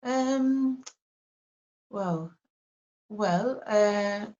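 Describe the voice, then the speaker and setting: A middle-aged woman with an Irish accent from Cork, talking reflectively in an interview and pausing often with fillers and restarts. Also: mid-range voice, a little mellow, highs cut off. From Ireland. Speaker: artist, interview guest. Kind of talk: casual interview talk.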